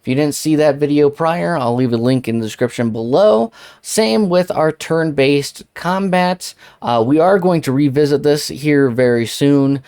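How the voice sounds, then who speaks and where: A man's voice: on the high side, unhurried, a little mellow, clear, resonant tone. Casual man hosting a tutorial. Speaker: tutorial host. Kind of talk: casual screen-recorded software tutorial.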